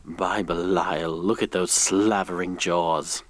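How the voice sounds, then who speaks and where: An actor playing a sardonic, jumpy grave robber in an audio drama, swinging from muttered asides to startled exclamations. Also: male voice, sits low, fairly even intonation, slow pace, no room sound. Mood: curious, wry.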